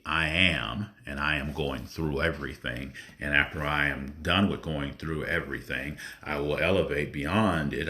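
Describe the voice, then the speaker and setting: A man with an extremely deep voice that carries a forceful, pressing edge. He talks through a spontaneous spiritual monologue, earnest and reflective, letting long pauses hang between phrases. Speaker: spiritual vlogger.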